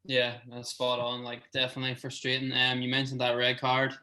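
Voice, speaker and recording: Man, co-host, relaxed, very flat pitch, bright tone. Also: a little fast. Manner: relaxed, leans on "you know". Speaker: podcast co-host. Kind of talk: casual podcast chat.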